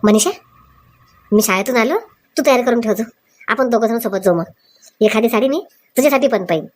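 A woman's voice: a little high, brisk, a little mellow, slight background noise, natural voice. A woman voicing a doting young husband in a comic cartoon, agreeable and encouraging. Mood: proud, agreeable.

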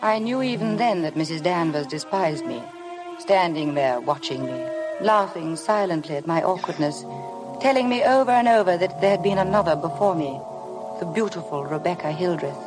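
Actress as a timid, expressive young bride. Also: female voice, on the low side, normal speed, over music, natural voice, rough recording. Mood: brooding narration. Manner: hesitant, earnest, polite. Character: timid, eager young bride, new lady of the house. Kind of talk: 1950s radio drama acting.

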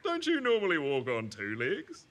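A man's voice: fairly high pitch, huge pitch swings, moderate pace, fairly bright tone. A man voicing a polite, chatty, fussy little bird in a preschool cartoon, genteel and a bit dithering. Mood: cheery, curious. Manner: genteel, jolly, slightly dithering.

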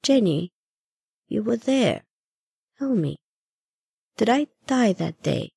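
A woman voicing a gentle, confused old grandmother ghost in a story, soft and hesitant. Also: medium pitch, sing-song, slow pace, vocal fry, husky, no echo, studio quality, voice pushed up, heavily acted. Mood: dawning realization. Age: older.

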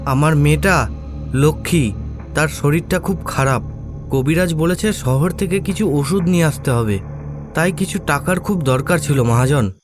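A man's voice: fairly high pitch, moderate pace, music in the background, natural voice. A man voicing a poor, desperate fisherman and father in a horror cartoon, speaking earnestly and humbly. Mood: humble pleading. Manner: earnest, pleading. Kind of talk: animated horror story acting.

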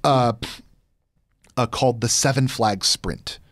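A man's voice: medium pitch, slow pace. A man in his thirties talking casually as an interview guest, close on a studio microphone. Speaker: podcast guest, voice actor and game master. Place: podcast studio, indoors. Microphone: close studio mic on boom arm, headset monitoring.

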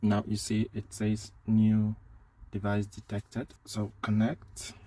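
A man's voice: lowish voice, completely flat delivery, slow pace, warm tone, low-quality recording. A man giving a casual hands-on product demo as a tech reviewer, speaking inside a car.

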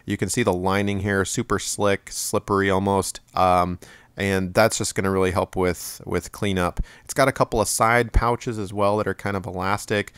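A man giving a relaxed, rambling product review at home, with plenty of fillers and restarts. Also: deep voice, moderate pace, clear, resonant tone, no room sound.

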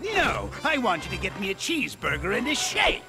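A gravelly, theatrical villain scientist, pompous and irritable. The voice sits high for a man and swoops around. Loud music plays behind this game cutscene performance. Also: wildly swooping pitch, full character voice. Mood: impatient. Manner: theatrical, drawn-out gloating. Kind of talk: animated game cutscene acting.

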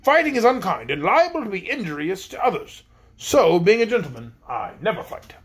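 A man's voice: high-pitched, sing-song, fairly quick, husky, voice pushed up, heavily acted. A man voicing a pompous, cowardly colonel in a storybook reading, speaking eagerly.

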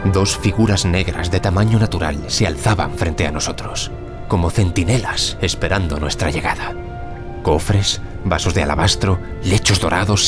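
Guy playing awed archaeologist in audio drama, deep voice, measured n solemn, music behind him. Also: lightly acted. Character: Howard Carter, English archaeologist, awed, intense, reflective. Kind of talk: audio drama acting with reflective narration.

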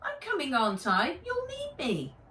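A woman's voice: high-pitched, wildly swooping pitch, normal speed, sharp tone, echoey room, recorded from a distance, pitched above the performer's own voice, exaggerated voice. A woman voicing an eager young boy sidekick in a storybook, whiny and pleading.

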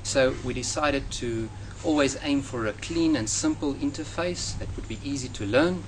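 A man in his thirties with a South African accent, a native Afrikaans speaker, answering interview questions in a measured, earnest way outdoors in front of a hedge. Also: mid-range voice, rough recording. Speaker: software developer. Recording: outdoor noise with passing traffic.

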